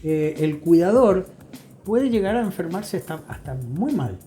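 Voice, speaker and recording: A middle-aged Argentine man with a mellow, dark voice speaks as an expert guest in an interview. He explains in a deliberate, emphatic way, often checking that he is followed, with music under his voice. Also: high voice, big pitch swings. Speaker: guest expert, psychology professional.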